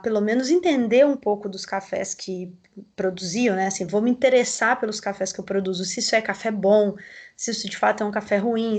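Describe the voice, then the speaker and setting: A woman with a Mineiro Brazilian accent gives steady, explanatory answers in an interview, at an everyday mid pitch. Her delivery is measured and she often checks in with tag questions. She is heard through a video call. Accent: Mineiro Brazilian Portuguese. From southern Minas Gerais, Brazil. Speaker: coffee growers' association representative.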